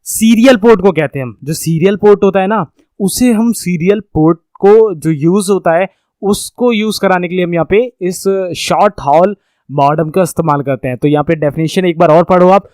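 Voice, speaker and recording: A man's voice: sits high, moderate pace, a little mellow, dry recording. A man giving an informal explanatory lecture as a course instructor, repeating and rephrasing points and checking that listeners follow.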